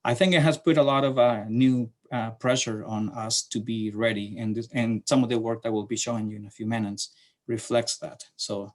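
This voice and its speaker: A middle-aged man from Chile with a Chilean Spanish accent, giving a slide presentation to a class in a halting way with many fillers and restarts. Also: mid-range voice, steady tone, darkish tone. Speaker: city transportation planner presenting to a class.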